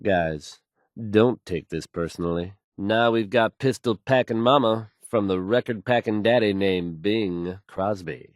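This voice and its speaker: An actor playing a smooth, self-assured male radio DJ and announcer in a video game. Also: fairly low pitch, a little slow, no echo, clean studio sound, lightly acted. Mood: smooth, easygoing.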